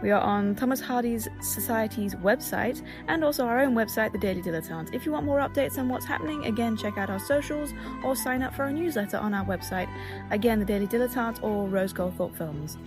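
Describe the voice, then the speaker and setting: A woman's voice: a little high, fairly quick, music in the background. A woman producer presenting a podcast outro with credits and thanks, in an informal, wry way.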